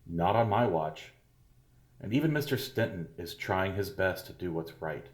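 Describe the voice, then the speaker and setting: An actor playing a soothing, paternal school head in an audio drama. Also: male voice, low-pitched, lively intonation, moderate pace. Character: middle-aged male school head, falsely kindly. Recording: echoey room.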